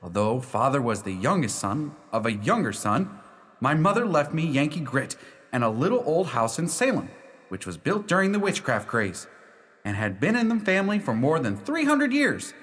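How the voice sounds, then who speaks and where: Man playing proud young lawyer, wildly animated. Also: medium pitch, moderate pace. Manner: emphatic, storytelling. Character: proud half-Scottish, half-Yankee young male lawyer recounting his haunting. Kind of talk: theatrical radio play monologue. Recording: reverberant hall sound.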